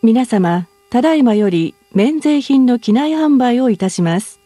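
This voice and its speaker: Woman, formal cabin announcer, mellow, slightly high, scripted delivery. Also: animated, extremely slow, dry recording.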